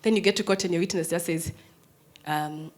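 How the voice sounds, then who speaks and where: A woman in her thirties with a Kenyan English accent, a prosecutor giving a personal storytelling talk to an audience. She has a strong, firm voice and shifts between hushed, near-whispered lines and loud, emphatic ones. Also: on the low side, steady tone, a little fast.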